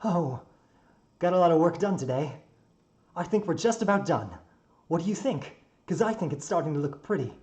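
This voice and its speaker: A man's voice: a little high, animated, normal speed, long pauses, darkish tone, voice pushed up, natural voice. A man voicing a cheerful, eager, klutzy human man in a story reading, with an apologetic manner. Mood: pleased.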